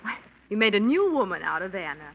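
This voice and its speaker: A fond, chatty wife in a vintage radio mystery. Her mid-pitched voice moves up and down expressively. The recording is muffled with some hiss. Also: very animated, a little fast, vocal fry. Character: affectionate, chatty, well-bred wife. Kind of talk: old-time radio mystery acting.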